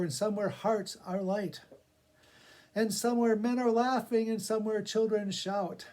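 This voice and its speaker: Higher-voiced man reading aloud for a library. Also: darkish tone. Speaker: poetry reader for a public library reading project. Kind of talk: dramatic poetry recitation with casual spoken introduction.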